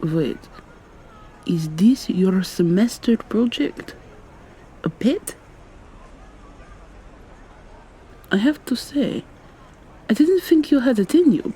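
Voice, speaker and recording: Mellow, soft-spoken young vampire student , woman acting. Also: high voice, lively intonation, slow pace, pauses often, a little hiss or hum, poor audio. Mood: curious, skeptical. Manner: soft-spoken, articulate, questioning. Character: articulate, probing young female vampire student. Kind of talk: ASMR roleplay, intimate conversational acting.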